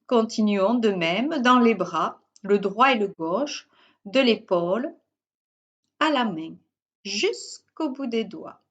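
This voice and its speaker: A woman guiding a yoga nidra relaxation as a teacher, in short, measured instructional phrases with pauses. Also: medium pitch, expressive, fairly bright tone, dull audio. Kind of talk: guided yoga nidra meditation.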